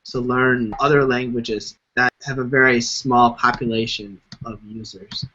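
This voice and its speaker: A man's voice: medium pitch, fairly even intonation, measured pace, bright, forceful tone, lo-fi sound, a little hiss or hum. A man hosting an interview show, asking curious, inquisitive questions.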